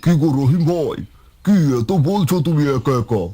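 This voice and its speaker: A man voicing a calm, friendly village neighbour in a cartoon, advising and reassuring. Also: a little high, moderate pace, husky. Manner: encouraging, advising. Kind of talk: cartoon voice acting.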